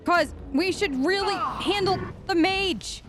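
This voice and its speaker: A woman giving chatty, excitable gameplay commentary as a streamer, talking straight to her viewers. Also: extremely high pitch, takes it slowly, noisy background. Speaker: let's play streamer.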